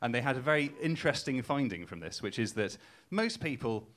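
A man's voice: mid-range voice, big pitch swings, brisk, a bit bright. Young Geordie man doing stand-up. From England. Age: young adult. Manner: casual, self-mocking, hesitant with ums. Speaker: comedian. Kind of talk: stand-up comedy set. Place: live stage before laughing audience.

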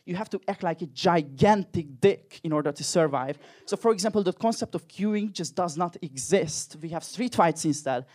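A man's voice: high-pitched, animated, normal speed, hardly any pauses, darkish tone. A young man with a Hungarian accent doing a dry, self-mocking stand-up comedy set with crude jokes. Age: young adult.

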